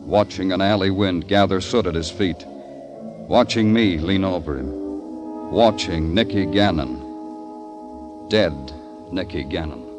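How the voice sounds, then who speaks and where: An actor playing a world-weary, sardonic police detective in an old radio noir drama, terse and brooding, with dramatic delivery. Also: male voice, low-pitched, slow, pauses often, music in the background. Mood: somber, brooding. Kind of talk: 1950s radio noir narration and acting.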